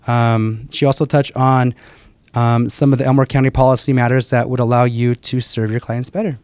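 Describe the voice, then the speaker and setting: A man introduces speakers as an event host. His delivery is informal and halting, with stumbles he owns up to. The sound is dry, with little room in it. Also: mid-range voice, level tone, darkish tone, clean tone, dry recording. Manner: halting, stumbles over words, self-effacing.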